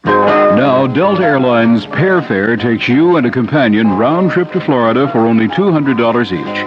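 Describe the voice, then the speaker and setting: A man's voice: mid-range voice, lively intonation, normal speed, highs cut off. A man working as a radio announcer, reading a scripted commercial. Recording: band-limited radio broadcast with loud music.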